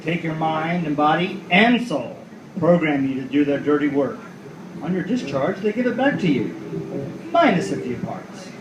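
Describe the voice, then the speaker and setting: A man's voice: on the high side, lively intonation, moderate pace, natural voice. A man playing a cynical, world-weary detective who narrates his own noir radio play with profane, sardonic asides. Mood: bitter, cynical. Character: hardboiled cynical male detective at the world's end. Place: live reading in a roomy venue. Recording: roomy live recording with faint noise.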